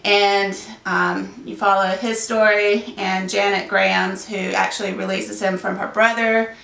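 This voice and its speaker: A woman in her thirties talks through her month of reading while fighting a sinus cold. Her voice is gravelly with a bright edge, and she wanders and corrects herself as she goes. The sound is roomy, picked up from a camera a little way off. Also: medium pitch, flat delivery, a little slow. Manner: rambling, many ums, self-correcting. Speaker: book vlogger. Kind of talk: casual book wrap-up chat. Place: home room with bookshelves behind. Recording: roomy echo, mic a bit distant.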